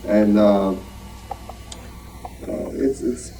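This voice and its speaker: A middle-aged man giving an informal show-and-tell talk, in a halting way that repeats words. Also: on the low side, warm tone. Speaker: club member presenting his collection. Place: meeting room with audience, recorded from a distance. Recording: distant mic in busy club meeting.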